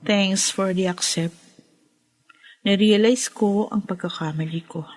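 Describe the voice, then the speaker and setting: A woman's voice: mid-range voice, fairly even intonation, takes it slowly, a little mellow, no echo, poor audio. An actress with a Filipino accent playing a hopeful, devout, earnest young woman in a radio drama. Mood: excited, nervous.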